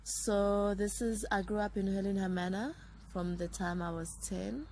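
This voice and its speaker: A woman in her thirties from Zambia, a writer and producer recalling her childhood in a relaxed interview. She talks in a reflective way, reeling off long runs of names. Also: medium pitch, normal speed. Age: 30s.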